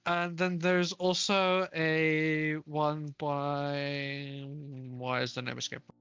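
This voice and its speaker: Woman co-host, low voice, casual rambling talk, soft music behind. Livestream chat. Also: level tone, takes it slowly, clear, resonant tone, no echo, lo-fi sound. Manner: rambling, searches for names, laughs often. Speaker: book club co-host.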